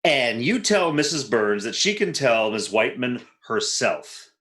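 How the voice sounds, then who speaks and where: A man's voice: medium pitch, big pitch swings, measured pace, sharp tone. A man playing a jealous, exasperated husband in a 1940s-style radio comedy, sarcastic and huffy. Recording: roomy, echoing recording.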